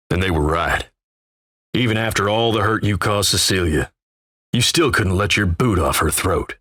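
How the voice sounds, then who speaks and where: Deep gruff cowboy narrator for a western game, folksy drawl, husky, wry and ominous. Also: male voice, sharp tone, no echo, studio quality, heavily acted. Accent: American Western drawl. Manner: folksy, measured storytelling. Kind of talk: dramatic second-person scripted game narration.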